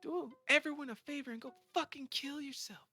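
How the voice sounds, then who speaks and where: Guy putting on a high sneery mean girl voice, nasty ringleader, bright. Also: a little fast, soft background music, full character voice. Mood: vicious. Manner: sneering, spiteful.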